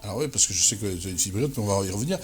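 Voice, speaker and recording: A man's voice: lowish voice, animated, racing, music in the background. A man hosting a talk show interview, chatting casually and often cutting in to finish his guest's sentences.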